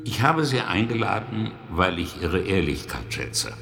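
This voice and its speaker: An actor playing a powerful older uncle in a radio drama, genial and patronising but probing in a measured way. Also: male voice, low voice, big pitch swings, creaky, over music, heavily acted.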